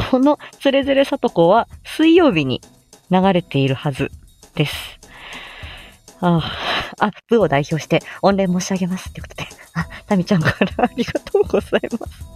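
A woman's voice: mid-range voice, big pitch swings, moderate pace, darkish tone, soft background music. A woman rambling casually to her listeners as a stream host, giggly and self-deprecating. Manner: giggly, self-deprecating, laughs often at herself.

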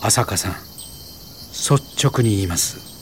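An actor playing a gentle, earnest Buddhist priest in a radio drama, speaking formally and politely without hurry. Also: male voice, on the low side, animated, takes it slowly, slight background noise.

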